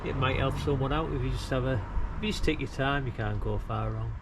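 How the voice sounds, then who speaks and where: A man's voice: medium pitch, a little fast. A middle-aged man chatting casually and rambling as he thinks aloud through a DIY job outdoors. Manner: rambling, laid-back, colloquial, thinking aloud. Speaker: amateur radio hobbyist filming a DIY video. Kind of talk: casual chat while demonstrating DIY. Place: outdoors beside a brick house wall. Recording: noisy outdoor recording with ambient traffic.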